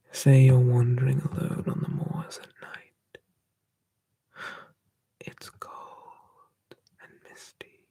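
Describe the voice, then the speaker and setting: A storyteller telling folklore in a slow, hushed, playful whisper, ASMR style. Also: mid-range voice, a little hiss or hum.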